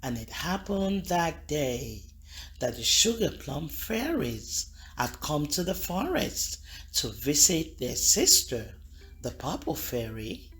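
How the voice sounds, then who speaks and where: A woman reading a picture book aloud to children, expressive and talking to the kids directly. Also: sits low, low-quality recording. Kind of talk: read-aloud storytelling for kids.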